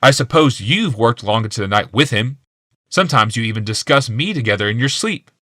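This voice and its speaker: A man's voice: mid-range voice, lively intonation, fairly quick, pushed and bright, dry recording, studio quality. A man voicing a bitter, paranoid, ailing male genius in an audiobook, growling and hissing with wrath.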